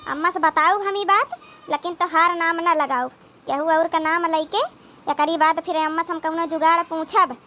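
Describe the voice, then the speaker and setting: Woman acting a shrill Awadhi village sister-in-law. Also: steady tone, moderate pace, pushed and bright. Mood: worried, resigned. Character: scolding but protective village sister-in-law in an Awadhi family cartoon. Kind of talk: dramatic comedy cartoon dialogue.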